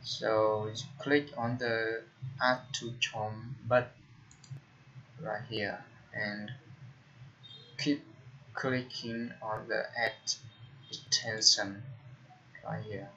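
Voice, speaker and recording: A young man with a Vietnamese accent, a tech tutorial host talking casually through a screen recording, halting with frequent fillers and restarts. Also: fairly low pitch, little pitch movement, bright, forceful tone. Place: indoor room at a computer. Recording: dull lo-fi computer mic with faint noise.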